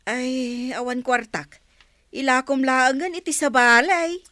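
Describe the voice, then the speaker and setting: A woman's voice: sits high, a little slow, sharp tone, no room sound. An actress playing a shrewd, frank neighbor woman in an Ilocano radio comedy-drama. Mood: wary, haggling.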